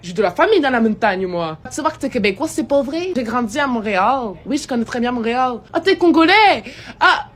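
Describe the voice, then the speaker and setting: A woman in a video clip, bubbly, switching rapidly between imitated accents. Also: on the high side, sing-song.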